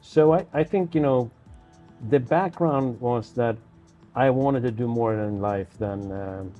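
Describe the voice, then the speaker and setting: Male investor, warm dark tone, rambling storyteller, muffled lo-fi audio. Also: mid-range voice, a little slow, soft background music. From Sweden. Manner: storytelling, repeats words, many "you know" tags. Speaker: investor, guest. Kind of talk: casual interview talk.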